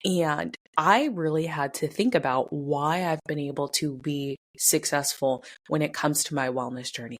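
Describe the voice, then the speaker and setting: A young adult woman hosting a casual wellness podcast. She has a stuffy, congested, slightly raspy voice and talks to the listener in a chatty, upbeat way, like a best friend. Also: deep voice. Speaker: podcast host.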